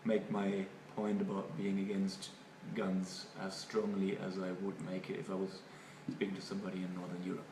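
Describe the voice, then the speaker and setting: Distant noisy recording, man, German accent, native German speaker, halting and thoughtful, interview answers. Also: low voice, monotone. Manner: halting, thoughtful, repeats words. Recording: echoey room, far from mic, noisy.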